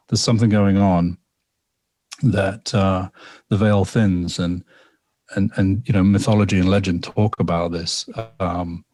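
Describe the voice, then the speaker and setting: A man's voice: sits low, a little mellow, dry recording. A man from England, a guest storyteller talking casually on a podcast, rambling and reflective with many repetitions and fillers. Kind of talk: casual podcast conversation, telling a personal story.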